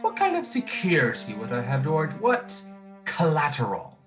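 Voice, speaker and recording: A man playing a cruel, gloating old banker in a radio play, with a sneering, deliberate delivery. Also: a little high, huge pitch swings, music in the background. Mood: smug, probing. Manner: sneering, deliberate, drawn-out phrasing. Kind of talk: radio drama acting.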